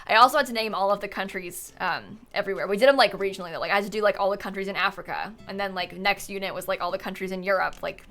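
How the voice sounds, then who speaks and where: A young woman streamer from Central Florida, with an American accent that leans Midwestern. Her voice is bright and forward, at a middle pitch for a woman. She chats loosely with viewers, filling her sentences with "like" and "um" and laughing often. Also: rapid-fire, hardly any pauses. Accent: American, Midwestern-leaning. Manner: chatty, rambling, full of "like" and "um", laughs often. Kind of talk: casual livestream chat answering viewers.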